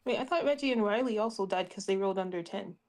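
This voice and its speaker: Casual woman player, medium-pitched voice. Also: fast, darkish tone. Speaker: tabletop game player. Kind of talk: casual game chat.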